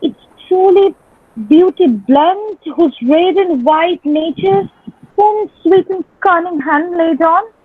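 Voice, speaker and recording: Woman as a very high-pitched, ardent young envoy. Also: dry recording. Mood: admiring, frank. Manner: declamatory, drawn-out, ardent. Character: ardent young gentleman envoy courting a lady. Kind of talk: dramatic reading of Shakespearean comedy. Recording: thin phone-like band, dry sound.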